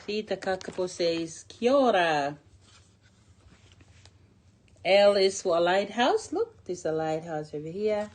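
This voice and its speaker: A woman's voice: on the low side, very animated, slow pace, pauses often, darkish tone. A middle-aged woman reading a picture book aloud to a young child in simple short phrases, drawing out key words and pointing things out with questions.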